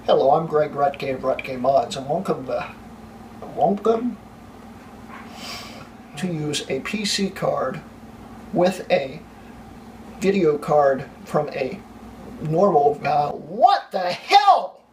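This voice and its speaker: Flustered young man, slightly hoarse. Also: on the high side, big pitch swings, takes it slowly, pauses often, a little mellow. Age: young adult. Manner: flustered, laughing at his own slip-ups. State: losing his voice, coughing. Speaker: tech channel host. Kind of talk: blooper reel of a tech vlog. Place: small home room full of computers. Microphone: camera mic from a few feet away. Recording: distant camera mic, faint room hiss.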